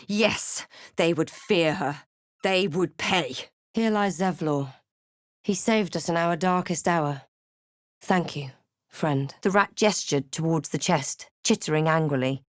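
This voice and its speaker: A woman voicing a wary, composed adventurer heroine for a fantasy video game, with measured, dramatic delivery. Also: low voice, dry recording, clean studio sound. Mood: watchful, measured.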